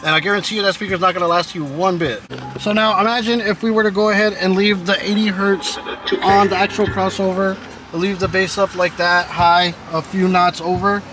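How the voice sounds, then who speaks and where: A man's voice: high voice, steady tone, brisk, poor audio. A man giving a casual, chatty how-to talk about tuning his truck's speakers, recorded inside the truck. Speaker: car audio vlogger. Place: inside a truck with the stereo playing. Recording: loud music behind the voice. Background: car stereo music playing.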